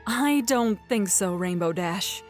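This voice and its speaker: A woman voicing a calm, regal, motherly mare princess with measured, soothing authority, in a cartoon-style story reading. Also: mid-range voice, voice pushed up. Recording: faint music behind the voice.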